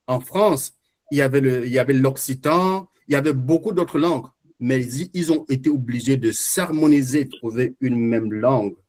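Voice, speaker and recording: Homme animateur, ton affirmé. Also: male voice, medium pitch, a little mellow. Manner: fluent, assertive, argumentative. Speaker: moderator. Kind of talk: moderating a panel discussion.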